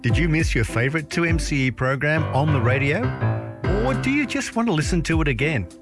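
A man's voice: a little high, expressive, fairly quick. A man reading a scripted radio station promo in an upbeat, bouncy way, over a music bed.

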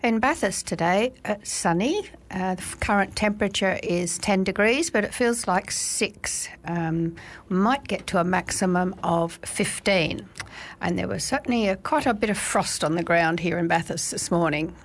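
An Australian woman presenting on community radio, with a froggy, gravelly voice, reading the weather in a relaxed way with fillers and small slips. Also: mid-range voice, moderate pace. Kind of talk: live radio weather read and announcing.

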